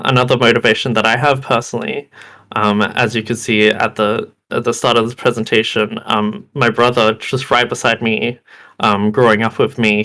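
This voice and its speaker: Hesitant young man, a bit hoarse. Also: fairly low pitch, pushed and bright. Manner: hesitant, many ums and restarts. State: hoarse, losing his voice. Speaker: game developer panelist. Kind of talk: presentation talk.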